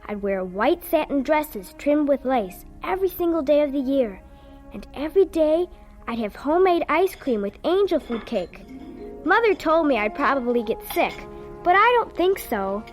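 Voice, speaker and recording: Radio drama: dreamy young farm girl played by a woman, earnest, high and lively. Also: normal speed, soft background music. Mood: dreamy, bright. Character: eager, daydreaming young farm girl, narrating and acting. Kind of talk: radio drama narration and dialogue.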